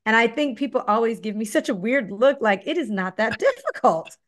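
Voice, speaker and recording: A woman from Belize, a guest on an interview podcast, who talks about herself in a lively, laughing way and acts out other people's questions. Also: on the high side, a little fast. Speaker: guest, leadership firm founder. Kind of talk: casual interview talk.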